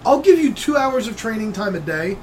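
A game master narrating a tabletop game in a relaxed, conversational way. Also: medium pitch, brisk, a little hiss or hum.

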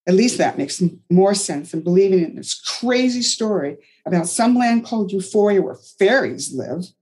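A woman's voice: mid-range voice, animated, moderate pace, mellow, voice pushed up, natural voice. A woman voicing a distraught young mother who is bitter and defensive. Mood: agitated.

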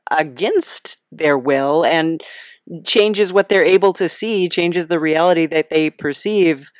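Female podcast host, lowish pitch, dry sound, fumbling and bemused through an improvised comedy interview. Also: dry recording. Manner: hesitant, halting, bemused, chuckling delivery.

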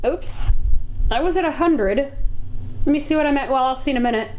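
Woman co-host, high voice, rambling casual tech talk, clipping loud mic, faint hiss. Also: moderate pace, poor audio. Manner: rambling, self-correcting, chatty with laughs. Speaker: livestream co-host testing audio gear.

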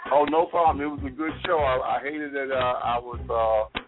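Halting male caller on a phone line. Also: medium pitch, steady tone. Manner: halting, repeats words, many fillers. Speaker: caller and political commentator. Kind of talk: call-in discussion. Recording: tinny telephone call with music.

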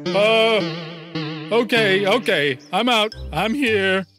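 A man's voice: bright, forceful tone, soft background music, exaggerated voice. A man voicing a tiny centipede who poses as an invincible warrior, in a pompous, declaiming storybook style. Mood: sheepish. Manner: pompous, slow booming declamation. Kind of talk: children's storybook character acting.

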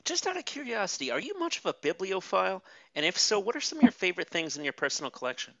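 A bright interviewer with a lively, swooping delivery asks questions, sometimes stumbling over uhs. The recording is dull and lo-fi, with a dry sound. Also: medium pitch, dry recording. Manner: lively questions with some halting fillers.

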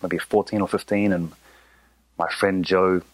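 A man from New Zealand, a musician guest, talking in a relaxed, easygoing manner. His voice is very deep. The sound is dry and close. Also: unhurried, no room sound, rough recording. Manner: easygoing, laughs often. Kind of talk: casual interview.

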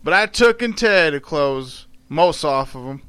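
A man voicing an elderly, proud and sorrowful formerly enslaved mother, telling her story in halting folksy dialect. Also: on the high side, lively intonation, fairly bright tone, clean tone. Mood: sorrowful, defiant. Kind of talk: dramatic read-aloud of a dialect tale.

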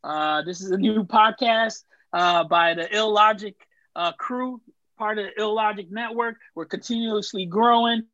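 A man hosting a casual podcast, relaxed and easygoing, with many filler words. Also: high-pitched, measured pace, no room sound. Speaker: podcast host. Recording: dull, dry lo-fi sound.

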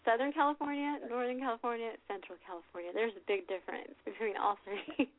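A woman's voice: a little high, steady tone, quick pace, a crowd in the background. Giggly woman radio host, phone-like sound. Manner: giggly, bubbly, chatty. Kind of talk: casual internet radio interview. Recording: phone-like lo-fi audio.

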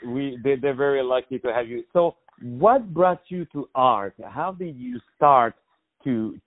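Effusive male radio host, lo-fi sound. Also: mid-range voice. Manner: effusive, repeats words, spells names out. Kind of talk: radio interview.

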